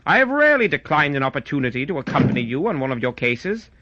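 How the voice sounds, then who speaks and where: A man playing a genial, loyal Victorian gentleman, telling his story in a courteous, formal way. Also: a little high, big pitch swings, fast, no echo, dull audio. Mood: amiable. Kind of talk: scripted first-person story narration.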